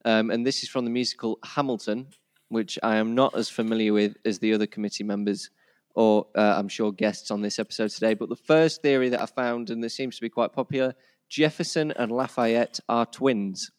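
A man's voice: mid-range voice, fairly quick, clean tone, no echo, studio quality. A man with a Yorkshire accent hosting a casual podcast chat. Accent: Yorkshire English. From Yorkshire, England. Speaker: podcast host.

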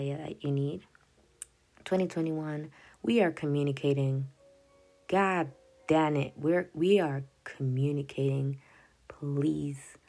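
A woman's voice: low voice, gravelly, dark tone. A young woman whose first language is Swahili, rambling through her journal notes in a casual podcast. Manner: rambling, self-interrupting, with frequent laughs and "yada yada". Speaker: podcast host. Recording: faint music behind the voice.

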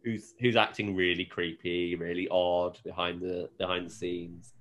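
A man's voice: deep voice, little pitch movement, measured pace, a bit bright. A man co-hosting a casual episode recap, telling it in a hesitant, amused, rambling way. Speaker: podcast co-host recapping a TV show.

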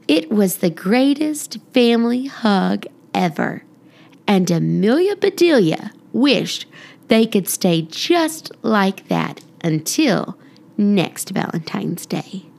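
A woman narrates a children's storybook in an expressive style. Her delivery is slow and very animated in pitch, with some creaky fry in the voice. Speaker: podcast storybook reader.

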